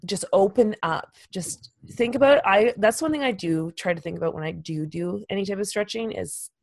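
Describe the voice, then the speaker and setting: A woman's voice: medium pitch, normal speed, darkish tone. Hesitant woman with a fading voice. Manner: hesitant, trails off and restarts often. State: fighting a cold, losing her voice. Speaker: podcast co-host. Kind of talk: casual chat.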